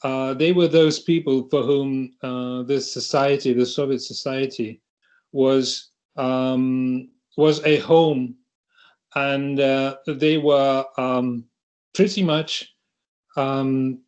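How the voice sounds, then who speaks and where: Slow, halting Russian man on a call. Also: medium pitch, steady tone, a little mellow. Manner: hesitant, halting, many restarts. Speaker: panel speaker. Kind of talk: online panel talk. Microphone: video call.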